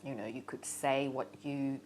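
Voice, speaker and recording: A middle-aged woman with a Hungarian accent tells her own story casually and haltingly, thinking aloud as a documentary interviewee. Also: rumbling low, steady tone, a little mellow, slight background noise. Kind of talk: casual personal storytelling to camera.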